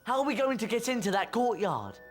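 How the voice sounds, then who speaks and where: High-voiced excitable schoolboy. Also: male voice, a little fast, in a large hall. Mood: grumbling, urgent. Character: earnest, grumbling schoolboy wizard. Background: music.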